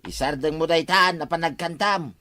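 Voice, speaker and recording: A woman's voice: sits low, normal speed, bright, forceful tone, no room sound. A woman playing an exasperated village official in an Ilocano comedy drama, firm and argumentative, with an Ilocano accent. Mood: stern.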